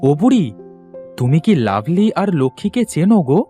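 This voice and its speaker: A man's voice: high-pitched, very animated, normal speed, mellow. A man voicing an eager, bargaining talking frog in a cartoon fairy tale. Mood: inquisitive. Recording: music under the voice.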